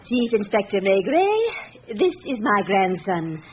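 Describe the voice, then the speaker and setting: A woman's voice: medium pitch, big pitch swings, slow. A stately elderly noblewoman speaks with formal, candid pride in a radio drama. Her emphatic lines come through a dull old recording with faint noise. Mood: candid, gracious. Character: proud, candid elderly aristocratic French widow.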